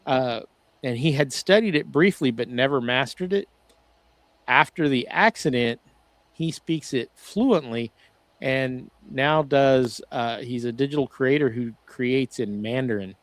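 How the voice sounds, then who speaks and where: Guy cohosting a podcast over video call, rambling with lots of uh's, mid pitch, dead dry sound. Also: no room sound. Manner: rambling, halting, frequent "uh" restarts. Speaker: podcast co-host. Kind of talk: casual podcast discussion.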